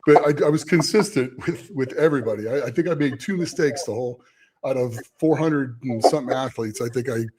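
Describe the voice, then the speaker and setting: A man's voice: mid-range voice, brisk, a little mellow. A middle-aged American man chatting casually as a host, plain-spoken and colloquial. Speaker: live-stream host, contest judge. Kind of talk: casual chat.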